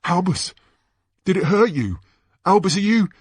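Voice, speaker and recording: Man as anxious schoolboy, teenage, concerned, hesitant urgency, slightly raised pitch, storybook character. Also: a little slow, no room sound, studio quality.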